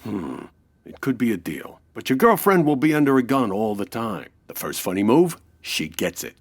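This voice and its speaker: An actor playing a sardonic, menacing gangster boss in a comedic old-time radio crime drama, husky and clipped. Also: male voice, mid-range voice, sing-song, moderate pace. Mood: bargaining, threatening. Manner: clipped wisecracking tough-guy talk.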